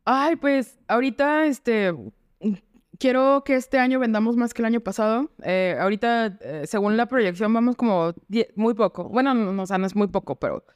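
A woman's voice: fairly high pitch, normal speed, smooth, dry recording, clean studio sound. A woman with a Mexican accent talking informally and candidly as a guest in a casual interview. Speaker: guest, entrepreneur.

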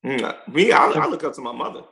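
A man's voice: on the high side, very animated, a little fast, gravelly, a crowd in the background. A man from Chicago, a real estate investor and guest on a podcast interview, talking energetically and repeating words as he thinks.

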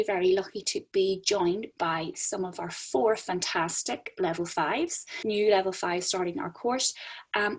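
A woman's voice: mid-range voice, slightly rough, low-quality recording. A woman lecturer hosting a casual podcast chat with students, often repeating words as she thinks.

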